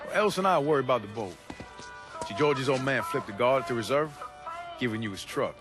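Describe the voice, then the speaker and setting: A man's voice: medium pitch, expressive, fast talker, over music, close to an everyday voice. An actor playing a cocky, foul-mouthed young robbery crewman in a cinematic video game, trading brash banter. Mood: businesslike.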